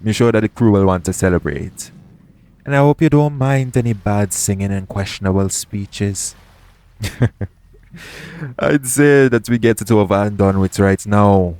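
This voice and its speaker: A man playing a shady, smooth-talking pirate captain in an audio roleplay, coaxing and self-assured in a conversational way. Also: on the low side, unhurried, a little mellow. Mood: teasing, coaxing. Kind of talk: second-person audio roleplay acting.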